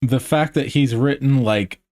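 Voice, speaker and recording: A man's voice: medium pitch, measured pace, darkish tone. Enthusiastic, slangy male podcaster. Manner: enthusiastic, laughs often, slangy. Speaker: podcast co-host. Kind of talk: casual conversation.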